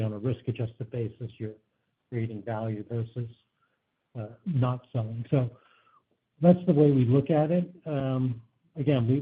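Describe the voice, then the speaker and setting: A male company executive answers questions on an investor call in measured business language. His voice is husky and sits at an average pitch. The line is thin and phone-like. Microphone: conference phone call. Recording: narrow tinny phone line.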